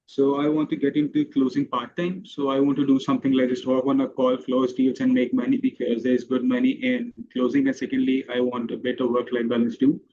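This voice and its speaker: A man's voice: mid-range voice, little pitch movement, brisk, hardly any pauses, dark tone, muffled recording, slight background noise. A university student in his twenties, calling in with a question and speaking plainly and earnestly. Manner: plain, earnest, calls the host bro. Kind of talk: casual call.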